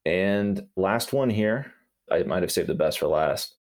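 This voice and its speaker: A man's voice: sits low, expressive, unhurried. A man, a doctor hosting, asking grammar questions in an earnest way with light humor. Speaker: physician host. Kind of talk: podcast interview questions.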